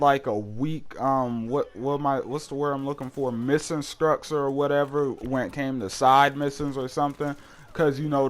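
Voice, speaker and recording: A young man hosting his gaming channel, reviewing a game in casual, rambling talk full of fillers, his voice a bit hoarse from a cold. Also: medium pitch. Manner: rambling, many fillers and restarts.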